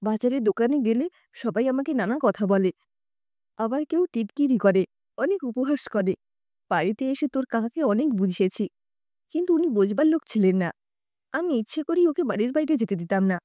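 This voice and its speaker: A woman's voice: fairly high pitch, warm tone, dry recording, clean studio sound, voice pushed down, natural voice. A woman voicing an older neighbourhood aunt in an audio story, stern and righteous, with a scolding, aggrieved, emphatic delivery.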